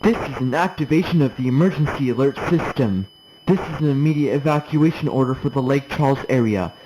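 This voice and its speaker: Male alert announcer, formal scripted order, average pitch, noisy recording with clicks. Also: brisk.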